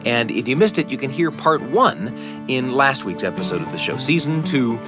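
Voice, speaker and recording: Male American radio host, scripted outro, medium pitch, very animated, music bed behind. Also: normal speed. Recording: music under the voice.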